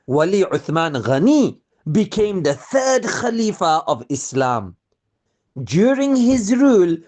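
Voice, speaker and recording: A storyteller narrating a scripted religious tale for children in an expressive way, putting questions to the young listeners. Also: medium pitch.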